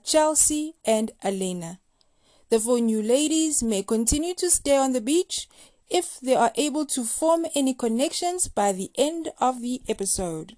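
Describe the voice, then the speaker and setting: A woman's voice: on the high side, mellow, no echo, clean studio sound. A woman hosting a recap show, reading scripted commentary in a playful, gossipy, slangy way. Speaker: host of a reality TV recap show.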